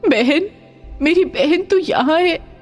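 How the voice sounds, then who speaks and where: Woman voicing a high-pitched, pleading poor village girl. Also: lively intonation, slow, soft background music. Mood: anxious. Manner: pleading, emotional. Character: distressed orphan village girl, elder sister, caring. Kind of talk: animated moral story voice acting.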